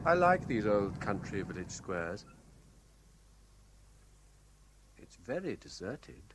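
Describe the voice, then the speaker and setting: Anxious lovesick british guy in old radio play, pleading, voice swings high and low, echoey noisy recording. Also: very animated, measured pace. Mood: subdued, concerned. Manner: pleading, urgent, emotionally wrought. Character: anxious, lovesick man terrified of heights. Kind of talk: 1970s British radio drama acting.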